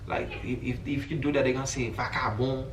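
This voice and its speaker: A man's voice: medium pitch, flat delivery, fast talker. A young man from Haiti with a Haitian accent, talking casually and earnestly to viewers in informal, slangy speech. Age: young adult. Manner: informal, slangy, repeats filler phrases like "you feel me". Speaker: vlogger. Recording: roomy echo, distant mic, faint noise.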